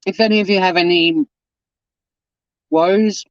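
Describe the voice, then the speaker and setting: Man, 50s, stream host, chatty, fairly high voice, dry lo-fi mic. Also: steady tone, a little slow, no echo. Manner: chatty, reads viewer comments aloud and answers them. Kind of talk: casual live stream chat. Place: home.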